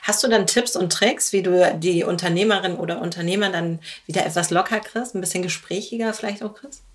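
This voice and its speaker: Woman interviewer, lower-pitched, friendly and lively in her questions. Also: brisk. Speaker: interviewer, community manager.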